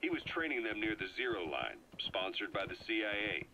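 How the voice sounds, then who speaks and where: A man voicing a grave veteran spy who delivers a deliberate, weighty scripted briefing in a video game. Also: fairly low pitch, big pitch swings, sharp tone, a little hiss or hum, heavily acted, low-quality recording. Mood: grave, measured. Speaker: intelligence briefer on an audio tape. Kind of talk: dramatic scripted briefing narration. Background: horse hooves and game ambience.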